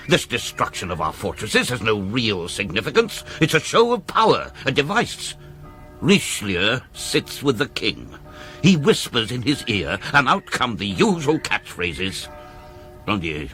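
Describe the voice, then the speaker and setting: Forceful, shrewd British governor. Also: male voice, mid-range voice. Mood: proud, reflective. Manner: forceful, rhetorical. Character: shrewd, proud city governor, wary of power. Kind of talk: radio drama acting.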